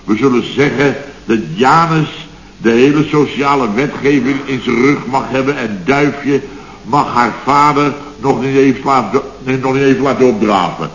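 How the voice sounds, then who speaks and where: An actor playing a blustering, aggrieved middle-aged boss of a building firm in a sarcastic, grumbling radio comedy style. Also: male voice, medium pitch, normal speed, fairly bright tone, close to an everyday voice. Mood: exasperated. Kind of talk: Dutch radio comedy acting, circa 1970. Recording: dull, noisy, roomy old radio recording.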